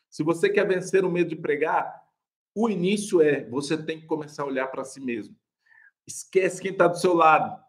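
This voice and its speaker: A young adult man with a Brazilian Portuguese accent, a pastor teaching a live online class on preaching in an animated, chatty way, laughing often and calling viewers "gente". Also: a little high.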